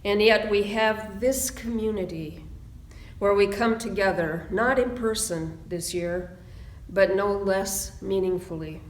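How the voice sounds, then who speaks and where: Woman minister doing a slow solemn prayer, mid pitch, roomy echo on it. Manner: solemn, measured, with deliberate phrasing. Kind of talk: slow, reflective spoken prayer and meditation. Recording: roomy, echoing sound.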